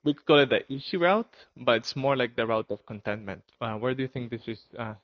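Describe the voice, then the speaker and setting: A man's voice: medium pitch, lively intonation, brisk, no echo, dull audio. A man hosting an interview podcast, talking earnestly and with enthusiasm, often murmuring agreement while his guest speaks. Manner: earnest, enthusiastic, says hmm a lot. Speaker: podcast host. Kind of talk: casual interview. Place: home in Costa Rica.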